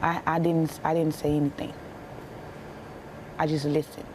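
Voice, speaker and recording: A young American woman speaks in a low, level voice as an interviewee. Her telling is plain and halting, with emphatic repetition. There is some background noise on the recording. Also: little pitch movement. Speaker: interviewee, bereaved military widow. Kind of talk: emotional personal account in a broadcast interview.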